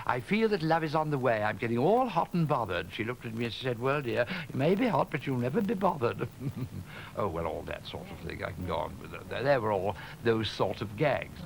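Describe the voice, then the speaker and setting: A man's voice: mid-range voice, big pitch swings, brisk, creaky, slight background noise, poor audio. An older man telling gossipy comic gags as a pantomime dame, chuckling at his own jokes. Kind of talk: stage comedy patter.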